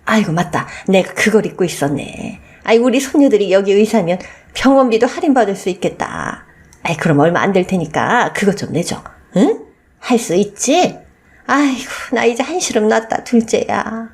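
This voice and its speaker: A woman voicing a shameless, scornful old mother-in-law who wheedles and pleads with emphatic, drawn-out words in a radio story. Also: mid-range voice, animated, close to an everyday voice. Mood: brazen relief. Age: older.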